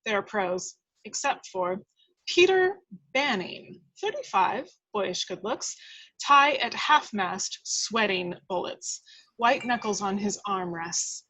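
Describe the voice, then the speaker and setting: A woman's voice: medium pitch, animated, slow pace, bright, forceful tone, breathy edge, low-quality recording. A woman reading stage directions as the narrator of a script table read, in a dramatic storytelling style.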